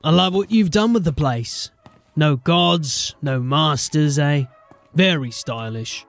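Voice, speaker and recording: A sardonic police sergeant in a fantasy audio drama. He speaks slowly and drily, always guarded, over faint background music. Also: a little high, creaky, no echo. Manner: dry, guarded. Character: wary, sardonic male city police sergeant.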